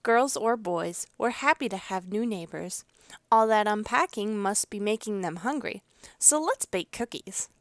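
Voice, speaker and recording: A woman's voice: mid-range voice, lively intonation, unhurried, no room sound. A woman voicing a cheerful, welcoming mother in a children's storybook, friendly and reassuring.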